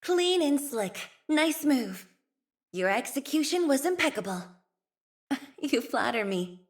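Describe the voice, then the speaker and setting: A young woman playing a composed, earnest scientist and leader in a video game, with a soft, slightly breathy voice and measured, formal, thoughtful phrasing. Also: high voice, very animated, heavily acted. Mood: gracious, encouraging. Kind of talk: video game character voice lines.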